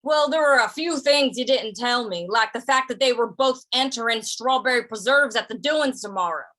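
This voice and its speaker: A woman's voice: fairly high pitch, normal speed, a bit bright, close to an everyday voice. A woman acting a plain-spoken, stubborn but easygoing cowpoke in a 1950s-style Western radio drama, with folksy phrasing. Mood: indignant.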